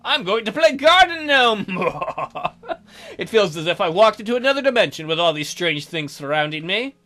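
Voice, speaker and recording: A man's voice: sits high, sing-song, normal speed, sharp tone. A man hosting a let's play, reading a visual novel aloud in a theatrical, jokey way with asides.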